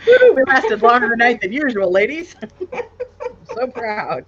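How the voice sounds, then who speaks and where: Brief: stuffed-up woman in her forties, playful co-host with a lively voice, on muffled lo-fi stream audio. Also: on the high side, unhurried, husky. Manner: teasing and playful. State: congested, stuffed-up nose. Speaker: co-host of a live chat show. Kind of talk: casual livestream chat.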